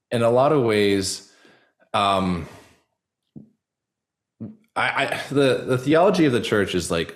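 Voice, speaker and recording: A man speaking as a guest in a casual interview, earnest and halting, often repeating words and leaning on fillers. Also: sits low. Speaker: podcast guest, actor and storyteller.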